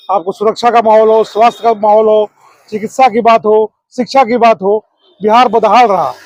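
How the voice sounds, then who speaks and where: High-pitched man, oratorical. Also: a little mellow, no echo, lo-fi sound. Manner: oratorical, repeats phrases in lists. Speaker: political activist, district committee member. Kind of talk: political interview answers.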